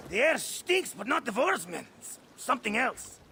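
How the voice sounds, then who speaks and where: An actor playing a jovial, irreverent ship captain in a video game, with a theatrical, teasing manner. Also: male voice, extremely high pitch, very animated, moderate pace, creaky, sharp tone, a little hiss or hum, full character voice. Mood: wary. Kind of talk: video game character acting.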